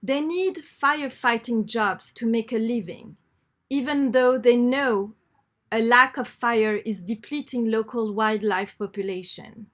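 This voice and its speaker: A woman reading an article aloud in a measured, unrehearsed way, repeating phrases when she stumbles. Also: fairly high pitch, fairly bright tone. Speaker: reader.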